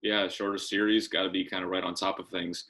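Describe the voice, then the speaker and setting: A young man co-hosting a casual podcast, setting up questions in a halting way with repeated phrases. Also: low-pitched, flat delivery, bright, forceful tone. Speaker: podcast co-host, interviewer.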